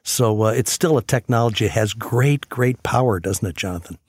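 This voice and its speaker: A man, hosting a radio conversation and explaining things in a measured way. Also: on the low side, darkish tone, husky, dry recording, studio quality. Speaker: radio show host.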